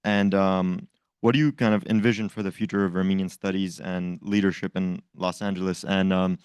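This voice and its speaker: A man acts as moderator at a student panel, pausing on fillers as he asks his questions. His voice is smooth and fairly low, recorded dry and close. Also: darkish tone, no echo. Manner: hesitant, frequent ums.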